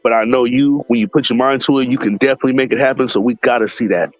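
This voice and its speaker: A man's voice: mid-range voice, steady tone, fast, no room sound, faint music behind. Laid-back, slangy man on a live stream. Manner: laid-back, slangy, many fillers, greets chat viewers by name. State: stuffed up from allergies. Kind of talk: casual live stream chat.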